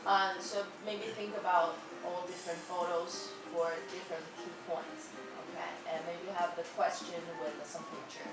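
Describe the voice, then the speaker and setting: A woman teacher giving classroom feedback in a fairly monotone voice, often checking "okay?" as she goes. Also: fairly low pitch, normal speed, few pauses. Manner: ends many sentences with a checking "okay?". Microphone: far from the mic. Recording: echoey room, far off, loud music behind. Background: loud music.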